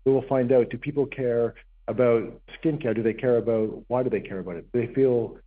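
Hoarse male CEO, quick fact-packed investor pitch, tinny line. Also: fairly even intonation. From Canada. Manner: brisk, fact-heavy, frequent restarts. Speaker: company CEO presenting. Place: conference session room.

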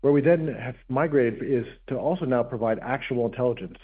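Man, hoarse, husky, rapid presenter delivery, phone-like sound. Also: mid-range voice. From Canada. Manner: brisk, fact-heavy, frequent restarts. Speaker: company CEO presenting. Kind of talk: investor pitch presentation. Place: conference session room. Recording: tinny phone-like line.

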